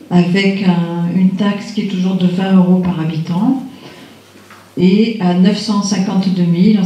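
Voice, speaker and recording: A man's voice: high-pitched, dark tone. A man presenting a budget at a council meeting, plainly reading figures with some hesitations. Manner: matter-of-fact, reads figures with hesitations. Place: large municipal function hall. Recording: echoey hall, mic far off.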